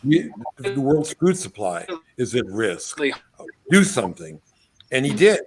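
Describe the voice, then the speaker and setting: A man telling a story in a live online conversation, animated and quoting his own words, over a video call. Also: mid-range voice, unhurried, faint music behind. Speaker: guest filmmaker.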